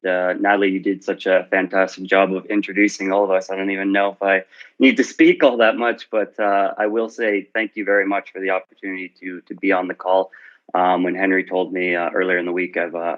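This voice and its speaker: A man with a very deep voice and little rise and fall talks eagerly about his work, stacking up praise and repeating words. The sound is dull and muffled, as if it came through a lo-fi connection. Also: a bit bright. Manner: upbeat, effusive, repeats words. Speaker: guest account manager. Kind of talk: casual self-introduction on an audio chat room. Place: Toronto.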